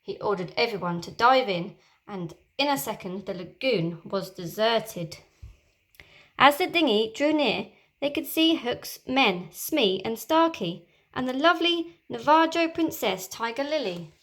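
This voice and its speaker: A woman's voice: fairly high pitch, unhurried. Woman reading a children's story. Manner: expressive, animated storytelling.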